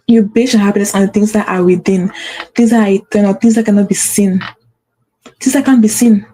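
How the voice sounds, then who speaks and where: Mellow-voiced woman with a stutter. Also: medium pitch, slight background noise, poor audio. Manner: emphatic, repeats phrases for effect. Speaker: host. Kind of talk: motivational talk to camera.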